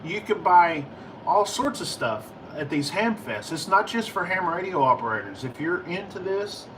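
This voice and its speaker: An American man chatting casually to livestream viewers in a rambling, halting, informal way. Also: high voice, very animated, fairly bright tone, recorded from a distance, slight background noise, low-quality recording. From United States. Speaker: livestream host, ham and CB radio hobbyist. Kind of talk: casual livestream chat answering viewer questions.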